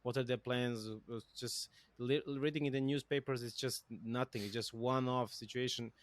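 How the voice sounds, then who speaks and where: A man's voice: medium pitch, steady tone, normal speed, no room sound. A native Serbian man hosting an interview podcast in English with a Serbian accent, rambling and thinking aloud with fillers. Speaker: podcast host. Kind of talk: conversational interview.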